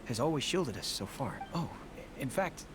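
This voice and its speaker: A man's voice: medium pitch, very animated, moderate pace, natural voice. An actor playing a weary, cautious father in a video game, with a resigned manner. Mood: hesitant. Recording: noisy ambience behind.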